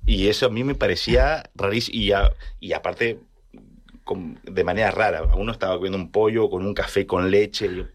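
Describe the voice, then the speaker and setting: A man from rural Argentina with an Argentine accent, telling personal stories casually and haltingly as he searches for words. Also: on the low side. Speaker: interview guest.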